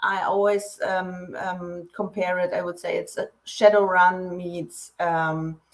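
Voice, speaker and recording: A woman from Poland who grew up in Germany, speaking English with a mixed Polish-German accent as an interview guest, repeating words and pausing with ums. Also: lowish voice, little pitch movement. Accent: Polish-German mix. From Poland, raised in Germany. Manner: stammering restarts, frequent ums, laughs easily. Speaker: guest author. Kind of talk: casual interview.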